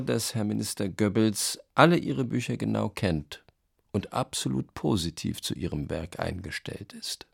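An actor playing a genial, effusive German publisher of the 1930s in a radio play, reading letters with chatty, old-fashioned courtesy. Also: male voice, fairly low pitch, vocal fry, heavily acted. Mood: pleased.

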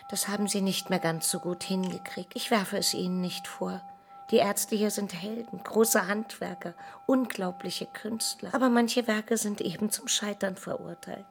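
A woman playing a dead accident patient, a wistful, resigned mother, in a measured, reflective radio play monologue. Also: mid-range voice, gravelly. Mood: quietly bitter.

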